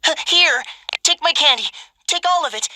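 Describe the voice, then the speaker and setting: A woman's voice: sits high, animated, moderate pace, bright, forceful tone, no room sound, exaggerated voice. A woman voicing a timid, anxious young girl in a video game. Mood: nervous pleading.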